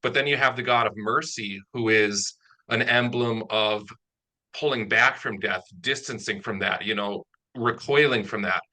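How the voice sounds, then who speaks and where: A man's voice: lowish voice, moderate pace, pushed and bright, low-quality recording. A man speaking conversationally as an interviewer and writer, explaining his ideas in an analytical way. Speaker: interviewer, audio drama writer.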